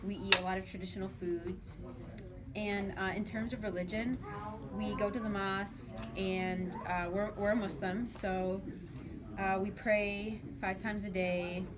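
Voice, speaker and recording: A woman's voice: mid-range voice, fairly even intonation, unhurried. A young woman from Michigan in her twenties, a student, talking casually in an interview with lots of "um" and "like". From Dearborn, Michigan, US. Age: 20s. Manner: chatty, many fillers like "um" and "like". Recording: crowd chatter behind.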